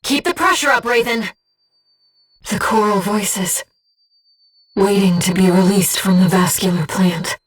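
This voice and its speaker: A woman voicing a gentle, disembodied young female companion in a video game, giving a mercenary pilot composed, earnest and precise tactical reports in a soft, breathy voice. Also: mid-range voice, measured pace, pauses often, far from the mic, close to an everyday voice. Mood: urgent. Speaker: mission operator companion.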